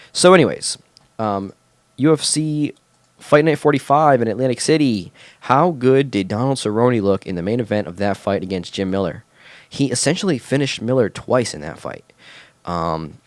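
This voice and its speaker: A man's voice: lowish voice, no room sound. A man hosting his own podcast, chatting casually with a nasal, stuffed-up sound and lots of fillers. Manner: chatty, enthusiastic, many fillers. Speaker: podcast host. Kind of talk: casual solo podcast talk. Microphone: home podcast mic through a mixer.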